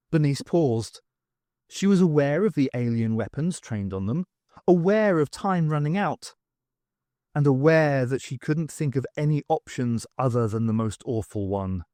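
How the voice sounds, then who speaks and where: A man's voice: medium pitch, a little mellow, dry recording, clean studio sound. A man reading a scripted story aloud as an audiobook narrator. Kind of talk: scripted narration of a fan audiobook, acting out dialogue.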